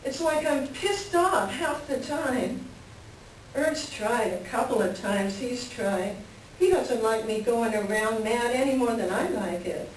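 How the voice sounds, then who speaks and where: A woman's voice: on the high side, slow pace. A woman playing a lonely, frustrated farm wife in a play reading, plain-spoken and wistful. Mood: resigned, frustrated. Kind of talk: staged play reading, conversational dialogue. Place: library reader's theater reading. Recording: echoey room from far off, faint noise.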